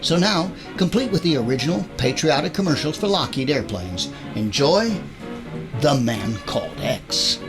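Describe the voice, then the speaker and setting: Male host, mid-pitched and very animated, chatty scripted intro with wry asides, faint music underneath. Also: normal speed. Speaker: host introducing an audio drama.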